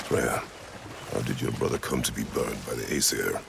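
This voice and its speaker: A stoic warrior god of few words in a video game, a stern middle-aged man. The voice is extremely deep and heavily gravelled, terse and grave, with faint noise behind. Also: normal speed, exaggerated voice. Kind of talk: dramatic video game voice acting.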